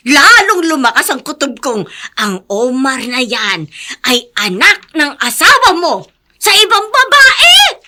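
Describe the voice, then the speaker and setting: An actress playing a meddling, scheming older neighbor with an excitable, insinuating manner in a Filipino radio drama. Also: female voice, high-pitched, slow pace, heavy background noise, exaggerated voice. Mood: indignant, agitated.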